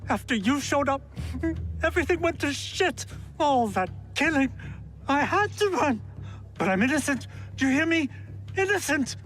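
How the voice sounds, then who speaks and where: A man's voice: very high voice, animated, normal speed, long pauses, a bit bright, faint music behind. An actor playing a flustered, guilt-ridden male watch commander with a gambling habit in a game cutscene, stammering and self-pitying. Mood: panicked, defensive. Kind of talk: video game cutscene acting.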